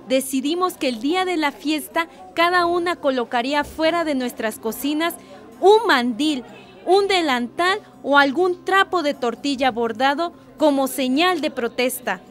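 An actress as a high-voiced, resolute Mexican mother. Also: expressive, a little slow, soft background music. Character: supportive village mother organizing a women's protest. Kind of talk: radio soap opera acting.

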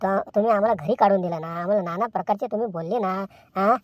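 A woman's voice: medium pitch, fast talker, lightly acted. Woman playing a blunt lecturing villager. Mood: scolding. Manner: loud reproachful lecturing.

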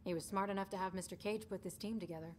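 An actress playing a dry, challenging young soldier in a video game cutscene. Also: female voice, medium pitch, steady tone, racing, a little mellow, a little hiss or hum, close to an everyday voice. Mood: dry, pointed. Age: young adult.

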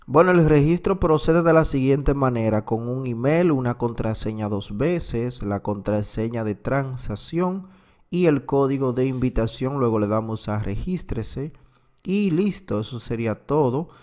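A man who is a native Spanish speaker, slowly explaining an app on screen with many filler words. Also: medium pitch, expressive, darkish tone. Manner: slow, filler-heavy explaining. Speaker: crypto platform reviewer. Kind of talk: screen-recorded walkthrough.

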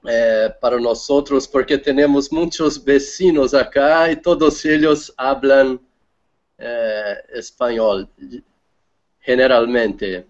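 A man's voice: mid-range voice, a little mellow. A man from Brazil, a native Portuguese speaker with a Brazilian accent, speaking hesitantly as a student and searching for words. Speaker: language student. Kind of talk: casual chat. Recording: dull lo-fi video call audio.

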